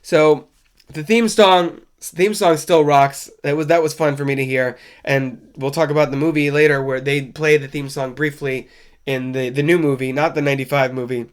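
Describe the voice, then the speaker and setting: A man's voice: on the high side, steady tone, moderate pace, smooth. Stuffy-nosed man hosting a podcast. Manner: wry, conversational, loose and rambling. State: stuffy from a cold. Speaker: podcast host. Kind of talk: casual solo film review chat.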